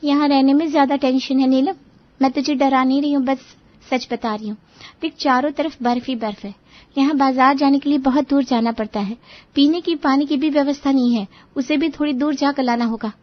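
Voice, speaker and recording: A high-voiced, friendly young woman from a cartoon moral tale, played by a voice actress. She is chatty, frank and teasing, like an old friend. The audio is slightly muffled. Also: steady tone, brisk, natural voice. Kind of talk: Hindi moral-story cartoon dubbing.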